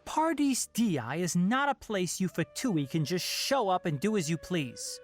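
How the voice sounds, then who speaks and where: Earnest young forest watcher, lively intonation. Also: medium pitch, unhurried. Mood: defiant, accusing. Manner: firm, articulate, pointed. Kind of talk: video game voice acting. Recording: background music under the dialogue.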